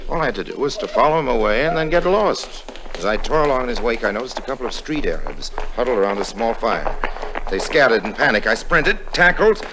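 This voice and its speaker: An actor playing a smooth, roguish American con man in an old-time radio drama, with a suave, glib way of talking. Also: medium pitch, very animated, fairly quick, noisy background. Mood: urgent narrating.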